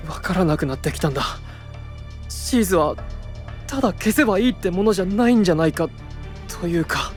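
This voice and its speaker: A man's voice: high-pitched, expressive, fairly quick, pauses often, faint music behind. An actor playing an earnest, brave but self-doubting young male knight in a Japanese fantasy voice drama. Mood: troubled, doubting. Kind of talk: Japanese anime-style voice drama.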